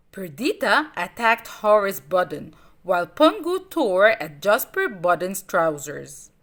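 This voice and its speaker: A woman reading a children's story aloud in an expressive, dramatic way. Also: medium pitch. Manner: expressive, dramatic, deliberate phrasing.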